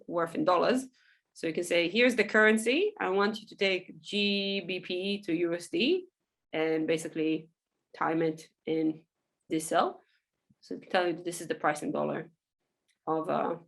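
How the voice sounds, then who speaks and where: A woman, a Hebrew speaker, giving a loose, chatty software workshop full of ums and small laughs. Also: fairly low pitch, creaky. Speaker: workshop presenter. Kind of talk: casual software tutorial over screen share.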